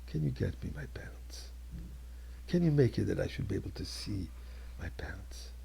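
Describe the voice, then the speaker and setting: A male storyteller plays a small refugee boy of seven in a sermon tale, frightened and earnest. The words come out as a plea, in a very husky, creaky voice. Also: deep voice, lively intonation, moderate pace, dark tone, in a whisper, pitched below the performer's own voice, heavily acted. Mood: pleading. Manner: pleading, earnest.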